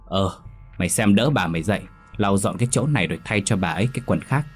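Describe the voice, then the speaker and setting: A man's voice: lowish voice, lively intonation, fast, soft background music. A man voicing a coarse, bad-tempered older husband who curses and scolds. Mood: grumbling. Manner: gruff, cursing.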